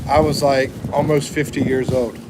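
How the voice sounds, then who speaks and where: A man's voice: medium pitch, flat delivery, moderate pace. A man in his fifties hosting a casual livestream, chatting and reading viewer comments aloud, hedging often with "like" and "I think". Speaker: livestream host. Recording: loud traffic noise behind.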